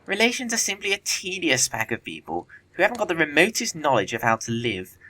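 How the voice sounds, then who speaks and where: A man's voice: on the high side, huge pitch swings, fairly quick, pushed and bright, full character voice. A man playing a witty young dandy in a period comedy audio play, breezy and arch in manner. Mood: flippant.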